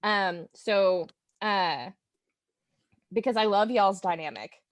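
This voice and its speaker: A woman's voice: medium pitch, slow, dry recording. A woman from Oklahoma with an American accent, a podcast guest speaking informally and enthusiastically with some hesitation. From Oklahoma, United States. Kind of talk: casual podcast chat.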